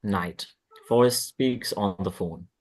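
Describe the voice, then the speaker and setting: A man's voice: lowish voice, slow pace. A man narrating the stage directions of a screenplay at a table read.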